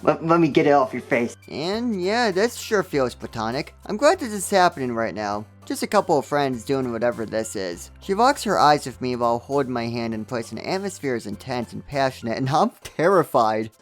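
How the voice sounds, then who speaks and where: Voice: mid-range voice, very animated, brisk, hardly any pauses, faint music behind. A game reviewer narrating a scripted comedic recap with wry, joking asides.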